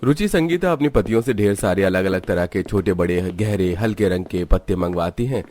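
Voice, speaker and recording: Deep-voiced male storyteller, dry recording. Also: moderate pace, darkish tone, no echo. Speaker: story narrator. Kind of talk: scripted narration of a family cartoon story.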